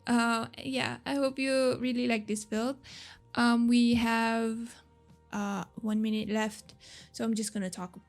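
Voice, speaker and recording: Woman doing a chill unscripted game build voiceover, kinda raspy tired voice w lots of fry, rambly and unsure, music behind. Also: a little high, little pitch movement, slow. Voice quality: slightly raspy, crackly. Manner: rambling, unsure, apologetic, giggly. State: voice tired from talking a lot. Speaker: gaming video creator narrating a build. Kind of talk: casual unscripted voice-over commentary. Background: background music.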